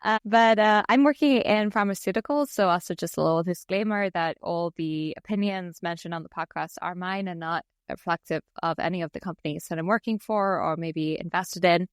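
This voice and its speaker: Smooth clear south african lady, accent kinda mixed from living abroad, chatting on a podcast, dry sound. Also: on the low side, brisk, hardly any pauses, no room sound. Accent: South African, softened by years abroad. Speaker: podcast co-host. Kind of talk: conversational podcast introduction.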